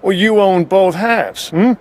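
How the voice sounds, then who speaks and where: An actor playing a slick, eager dealer in a video game, glib and full of stammering sales patter. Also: male voice, sits high, takes it slowly. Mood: glib, persuasive.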